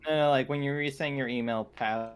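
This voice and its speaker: A man's voice: mid-range voice, fairly even intonation, quick pace, smooth. A man playing a tabletop roleplaying game, chatting casually and searching for his words.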